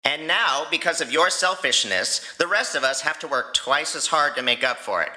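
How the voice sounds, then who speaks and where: A man voicing an angry, sarcastic young Viking dragon rider in an animated fan fiction audio drama. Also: on the high side, normal speed, poor audio. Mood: furious, scolding. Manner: ranting, sarcastic, exaggerated.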